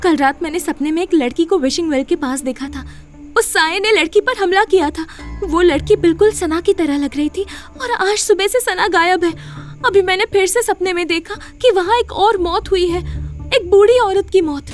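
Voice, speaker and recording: Very high-voiced frightened young woman. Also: moderate pace, faint music behind. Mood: anxious. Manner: anxious, pleading. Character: frightened, distressed young college woman in custody. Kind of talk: Hindi audio horror drama acting.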